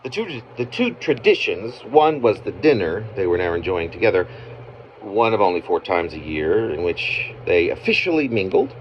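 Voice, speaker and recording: A man reading his own narrative poem aloud as its author, in halting phrases with pauses mid-sentence. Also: lowish voice, very animated. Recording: muffled, noisy amateur home recording.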